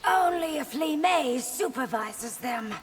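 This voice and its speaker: An actress playing a stern, commanding young warrior empress in a video game, imperious and curt. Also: female voice, high-pitched, expressive, slow pace, very noisy.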